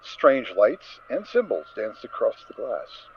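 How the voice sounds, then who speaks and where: A man narrating a story as a dramatic reading, with expressive, theatrical emphasis. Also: mid-range voice, takes it slowly. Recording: dull recording with faint noise.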